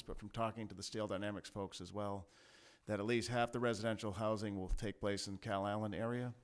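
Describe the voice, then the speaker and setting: Low, steady-voiced man briefing a council. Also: smooth. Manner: measured, many fillers and restarts. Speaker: city staff presenting to council. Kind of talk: formal briefing. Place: council chamber.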